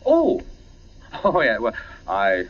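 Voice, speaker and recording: An animated radio drama husband. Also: male voice, medium pitch, slow pace, exaggerated voice. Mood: awkward, evasive. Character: affable, uneasy husband in a suspense play. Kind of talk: 1940s radio acting. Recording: muffled 1940s radio recording.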